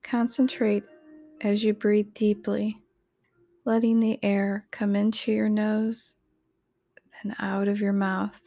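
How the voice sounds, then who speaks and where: Female narrator for guided relaxation: gentle and soothing, even-pitched, unhurried, measured scripted read. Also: dry recording, faint music behind. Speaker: meditation guide.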